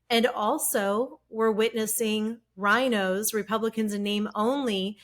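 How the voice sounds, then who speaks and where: A woman hosting an interview show, opening with a deliberate, earnest introduction and then questioning her guest. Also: medium pitch, a bit bright. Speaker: show host.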